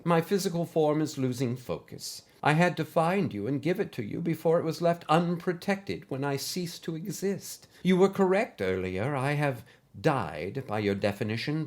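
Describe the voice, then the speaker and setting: A man voicing a gently insistent older female teacher, an android spirit in an audio story, speaking earnestly and in a measured way. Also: mid-range voice, lightly acted. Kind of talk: audio story character acting.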